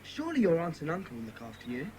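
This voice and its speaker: A woman playing an excitable, kindly, slightly nervous schoolboy in a children's audio drama, expressive and exclamatory. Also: sits low, rapid-fire, low-quality recording. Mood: curious, kindly. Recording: clear background noise.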